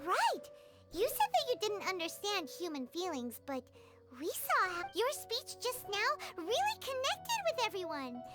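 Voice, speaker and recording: A woman voicing a tiny, excitable, childlike fairy companion in a video game, with a squeaky, chirpy, exclamatory delivery. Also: measured pace, loud music behind the voice, heavily acted. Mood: excited. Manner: squeaky, chirpy, exclamatory, speaks of self in third person.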